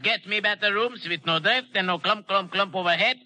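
An actor playing an irascible, fussy foreign-accented professor, complaining indignantly in a 1950s radio drama. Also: male voice, high-pitched, fairly quick, bright, forceful tone. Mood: huffy.

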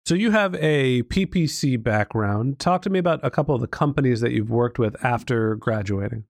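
A man's voice: medium pitch, expressive, moderate pace, creaky, no room sound. A male podcast host with a mid-range voice, chatting with a guest in a curious, easygoing way. He readily admits what he does not know. The recording is dry and close. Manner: curious, openly self-deprecating about finance. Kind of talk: conversational interview.

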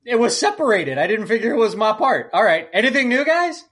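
A man's voice: sits high, very animated, brisk, fairly bright tone. A man co-hosting a podcast in loose, casual conversation. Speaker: podcast co-host. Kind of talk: casual chat.

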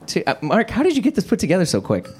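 A man's voice: high-pitched, wildly swooping pitch, very fast. A man hosting a live conversation before an audience, informal and joking, with rambling questions. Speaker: host and interviewer. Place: live event in a menswear store.

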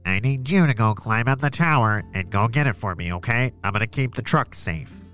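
A man's voice: medium pitch, animated, brisk, fairly bright tone, faint music behind, voice pushed down, natural voice. A man voicing a bossy, impatient leader of villainous ninjas in a children's adventure story. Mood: scheming, bossy. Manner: impatient, ordering others about. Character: bossy, exasperated male ninja gang leader.

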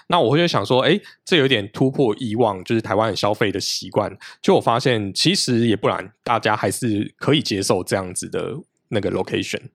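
A man's voice: lowish voice, no room sound, studio quality. A man with a Taiwanese Mandarin accent, talking casually as a guest on a podcast. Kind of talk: casual conversation.

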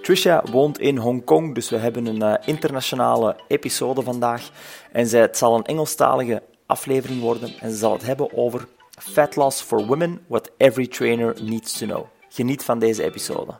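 A man's voice: mid-range voice, brisk, gravelly, darkish tone. A man hosting a podcast, introducing a guest and talking hesitantly, repeating words as he goes. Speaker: podcast host. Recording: faint music behind.

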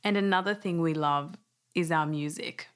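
A woman narrating an audio documentary in a calm, scripted storytelling manner. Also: low-pitched, normal speed, background noise.

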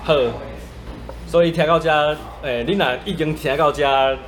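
A man's voice: fairly high pitch, steady tone, normal speed, a bit bright, faint music behind. A man with a Taiwanese accent hosting a casual podcast chat. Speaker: podcast host. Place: coffee shop. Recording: faint cafe ambience noise.

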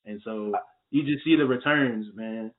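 A man's voice: medium pitch, a little slow. A young man talking as a podcast guest, laid back and casual with a lot of "like". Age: young adult. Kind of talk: casual interview chat. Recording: tinny phone-like call audio.

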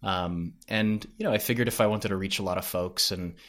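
A man's voice: low-pitched, steady tone, a little fast, a bit bright. A man speaking as a podcast guest in casual conversation, thinking aloud a little hesitantly. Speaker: podcast creator, interview guest.

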